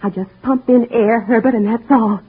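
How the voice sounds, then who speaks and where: An actress playing a mousy, downtrodden wife who calmly plans to murder her husband, in old-time radio drama style, speaking quietly and chillingly and breaking into sobs. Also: fairly high pitch, moderate pace, husky. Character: timid downtrodden wife turned cold poisoner. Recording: muffled lo-fi old radio recording.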